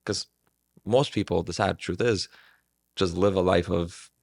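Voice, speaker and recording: A man's voice: sits low, steady tone, a little slow, creaky, darkish tone. A man in his twenties talking casually and reflectively as a podcast guest, earnest and rambling, with plenty of filler words. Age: 20s.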